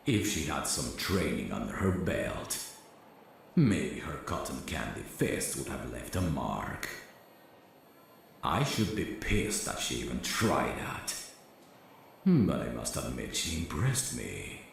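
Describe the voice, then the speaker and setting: A man acting a teasing, menacing, flirtatious mafia boss in an ASMR roleplay, with a smug, gravelly, intimate drawl. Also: a bass voice, slight background noise, exaggerated voice.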